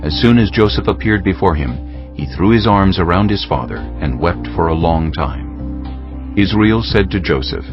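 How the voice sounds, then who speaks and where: A man narrating scripture as a dramatized audiobook in a measured, solemn storytelling manner. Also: low-pitched, expressive, highs cut off. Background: clear music.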